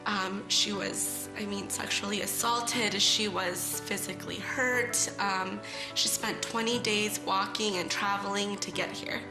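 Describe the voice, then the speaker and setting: A woman calmly and seriously telling a client's story in an interview video. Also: medium pitch. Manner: measured, serious. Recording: echoey room with music underneath.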